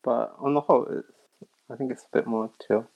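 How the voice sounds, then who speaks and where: A man in his thirties with a London accent, a podcast guest chatting casually, soft-spoken and hesitant with many pauses. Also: medium pitch, steady tone, creaky, highs cut off. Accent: London English. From South London, England. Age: 30s. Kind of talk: casual chat.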